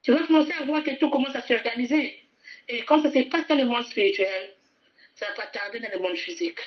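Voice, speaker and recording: A woman preaches with fervour, circling back over her phrases and urging the congregation to repeat after her. Her voice sits on the higher side. The recording sounds muffled and lo-fi. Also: a little fast. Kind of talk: sermon.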